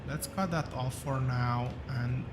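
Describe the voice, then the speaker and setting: A man with a rough voice, thinking aloud as he hosts a relaxed gameplay commentary, with many fillers and pauses. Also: medium pitch, music in the background. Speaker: gaming channel host.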